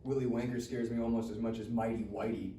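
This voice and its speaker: An actor playing a cheeky, defiant teenage stoner in a live comedy table read. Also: male voice, mid-range voice, flat delivery, fast talker, mellow, lightly acted. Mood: flippant. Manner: cheeky, slangy. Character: smart-mouthed, defiant stoner teenage boy. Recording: echoey room, distant mic, faint noise.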